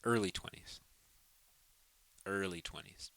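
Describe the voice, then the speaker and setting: A man's voice: low voice, slow, fairly bright tone. A man in his twenties co-hosting a podcast, chatting casually in an easygoing, enthusiastic way. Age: 20s. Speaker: podcast co-host. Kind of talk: casual chat.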